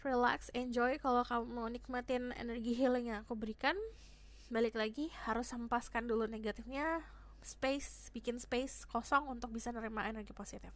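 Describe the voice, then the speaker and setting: A young woman with a hoarse voice, chatting casually as she gives a tarot reading to her viewers. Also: a little high, fairly bright tone. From Indonesia. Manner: chatty, switches between Indonesian and English phrases. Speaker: tarot reader.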